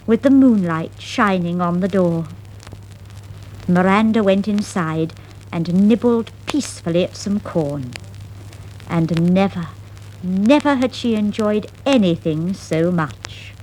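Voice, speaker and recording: A woman narrating a scripted children's fable in a dramatic, expressive storytelling style. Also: medium pitch. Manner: dramatic, expressive, deliberate phrasing. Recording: noisy 1960s vinyl record.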